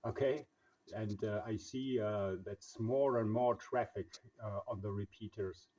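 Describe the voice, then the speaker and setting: A man with a husky voice, chatting casually over radio in halting, English. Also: on the low side, steady tone, noisy background. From Germany. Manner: halting, non-native English phrasing. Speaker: amateur radio operator and video maker. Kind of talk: casual radio contact chat. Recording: slightly dull, lo-fi sound.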